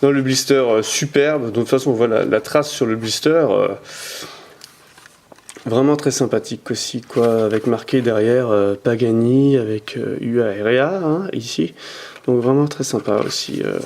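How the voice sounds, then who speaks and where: A man's voice: medium pitch, moderate pace, darkish tone. A man at home talking to his camera as he shows toy cars from his collection, with frequent hesitations and repeated words. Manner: hesitant, many "euh" fillers and repeated words; handles and turns the cars toward the camera as he talks. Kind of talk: casual unboxing commentary. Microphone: camera mic.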